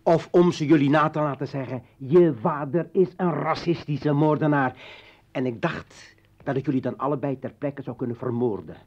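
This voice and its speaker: A man acting out a bitter, menacing revolutionary in a radio drama, rhetorical and taunting. His voice is fairly high, in a dull, echoey old recording. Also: moderate pace, darkish tone, lightly acted. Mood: menacing, loud. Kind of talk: Dutch radio play acting.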